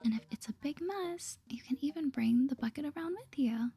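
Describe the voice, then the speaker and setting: A woman voicing a sweet, apologetic, helpful young shop colleague in a cozy video game, light, cutesy and breathy. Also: high-pitched, lively intonation, fairly quick, dark tone. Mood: helpful, upbeat.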